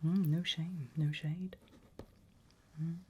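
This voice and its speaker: A woman in an ASMR roleplay as a politely sinister HR interviewer, whispering and murmuring softly in a deliberate, measured way with pauses. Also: steady tone, muffled recording. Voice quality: breathy whisper with soft low murmurs. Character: calm, politely sinister HR interviewer at an evil organisation.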